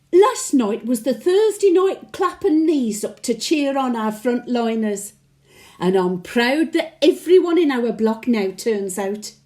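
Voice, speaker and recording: A woman's voice: high-pitched, a little mellow. A woman playing a chatty, sentimental elderly Birmingham widow with a Brummie accent, reminiscing in a folksy, plain-speaking monologue. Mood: proud, chatty. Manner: folksy dialect, wistful and chatty. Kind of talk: dramatic monologue, conversational reminiscence.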